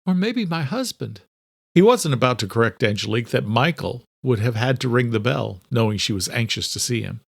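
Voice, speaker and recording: A man's voice: medium pitch, fairly quick, vocal fry, few pauses, dry recording, clean studio sound. A man narrating a thriller audiobook from a script.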